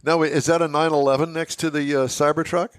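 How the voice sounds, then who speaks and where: A man's voice: a little high, little pitch movement, fast, clean tone, no echo, clean studio sound. A man hosting a car show in casual chat, folksy and curious, readily sounding amazed.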